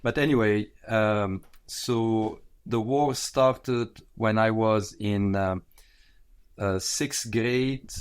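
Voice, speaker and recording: An unhurried man in his sixties with a fairly low voice. He talks through his answers thoughtfully, pausing on fillers and correcting himself as he goes. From Lebanon. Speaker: guest, retired pathologist. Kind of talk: conversational interview.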